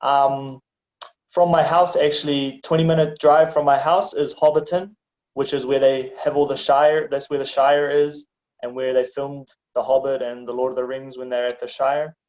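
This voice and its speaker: Relaxed New Zealand man, muffled audio. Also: medium pitch, normal speed. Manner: relaxed, chatty, laughs easily, many ums. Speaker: interview guest. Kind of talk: casual conversation. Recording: dull lo-fi audio.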